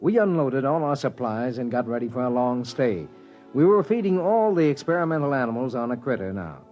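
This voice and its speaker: A man's voice: mid-range voice, lively intonation, fairly quick, close to an everyday voice. An actor playing a wry spaceship crewman who narrates a grim expedition in a dry 1950s radio drama style. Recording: dull old radio recording with music.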